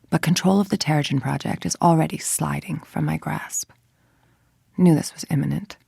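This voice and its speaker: Low, gravelly, calculating villain scientist. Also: female voice, a little mellow, dry recording, clean studio sound, full character voice. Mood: cool, assured. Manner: measured, precise, menacing delivery. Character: cold, calculating, ambitious woman scientist villain. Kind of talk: video game voice acting, monologue.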